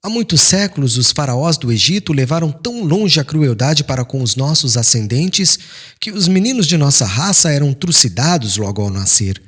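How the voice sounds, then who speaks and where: Man acting an earnest, pleading young Hebrew son, animated. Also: fairly high pitch, moderate pace, husky, pitched above the performer's own voice. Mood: earnest persuasion. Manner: earnest, humble, imploring. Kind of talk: dramatized audiobook reading.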